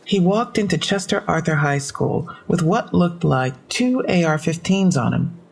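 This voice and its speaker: A woman's voice: fairly low pitch, expressive, measured pace, vocal fry, breathy edge, full character voice. A woman acting a businesslike police detective in an audio drama, speaking in a matter-of-fact, slightly awkward way. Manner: matter-of-fact, clipped case briefing.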